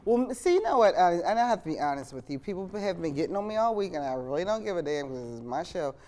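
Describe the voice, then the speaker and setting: A woman's voice: fairly low pitch, sing-song, quick pace, warm tone. Outspoken woman host; blunt, casual interviewer. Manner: outspoken, blunt, laughs easily. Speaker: talk show host.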